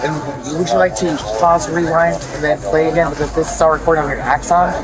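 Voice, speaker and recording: A woman's voice: deep voice, steady tone, fairly quick, crowd noise. A woman in casual, argumentative talk with police, sassy and evasive, as a customer who has been stopped. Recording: body camera with vehicle noise.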